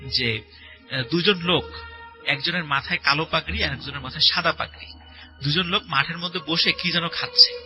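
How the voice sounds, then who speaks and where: A man telling a horror story conversationally as a guest, in a halting, repetitive, suspenseful way. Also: fairly high pitch, poor audio. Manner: halting, repetitive, suspenseful retelling with filler words. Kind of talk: spoken horror story told conversationally to a host. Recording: voice over prominent background music. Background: music.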